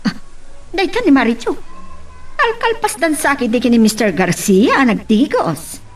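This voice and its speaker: A woman acts a nagging aunt in an Ilocano radio drama. Her voice is high and lively, set slightly back from the microphone. She questions and chides with an Ilocano accent. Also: normal speed, distant mic. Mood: questioning, chiding.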